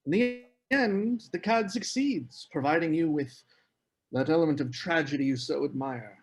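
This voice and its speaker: A man's voice: fairly high pitch, moderate pace, close to an everyday voice. A man playing a proud, earnest writer in a period literary drama table read, speaking in formal phrases. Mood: reflective. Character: Geoffrey Aspen, proud, earnest male writer.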